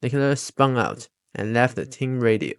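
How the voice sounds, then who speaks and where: A man delivers scripted fan commentary at a medium pitch. His phrasing is non-native, with small grammar slips. The sound is dry and close, with no room. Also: normal speed, smooth, no echo. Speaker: video narrator, fan commentator. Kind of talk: scripted narration.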